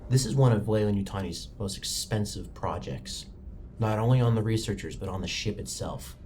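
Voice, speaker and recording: A man's voice: on the low side, normal speed, darkish tone, slight background noise. A man working as game master, narrating a tabletop roleplaying game in a dramatic, immersive way. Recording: music bed under the voice. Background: background music.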